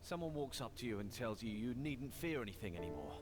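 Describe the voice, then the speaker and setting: Actor as an animated, defiant frightened soldier, loud music. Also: male voice, medium pitch, quick pace, close to an everyday voice. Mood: bitter. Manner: insolent, argumentative. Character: defiant, frightened male soldier refusing orders. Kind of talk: video game voice acting. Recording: game audio under loud music.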